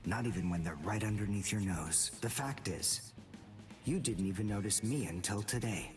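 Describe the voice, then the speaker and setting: An actor playing a cold, arrogant teenage boy rival in an English anime game dub, speaking in a deliberate, condescending way. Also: fairly low pitch, recorded from a distance, over music, close to an everyday voice. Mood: smug lecturing.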